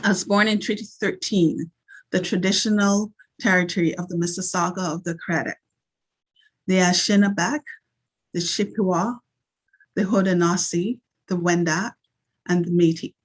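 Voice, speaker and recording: A woman who grew up in Barbados, speaking in an earnest, measured way as she opens a video call with a prayer and introduces herself. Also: on the low side, fairly even intonation, low-quality recording. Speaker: event coordinator.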